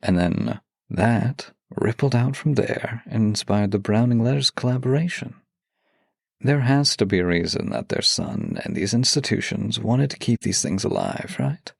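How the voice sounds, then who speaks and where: A man co-hosting a podcast in an intimate, measured, soft-spoken style, like a voice actor presenting written material. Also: medium pitch, creaky, husky, no echo, clean studio sound. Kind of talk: intimate podcast talk with a read-aloud letter.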